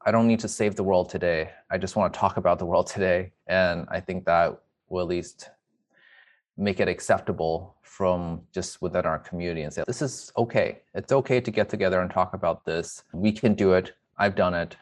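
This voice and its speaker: A man speaking reflectively in an interview as a physician, earnest and self-effacing, with measured, formal wording. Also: low-pitched, steady tone. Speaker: emergency medicine physician, interviewee. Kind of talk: reflective personal interview talk.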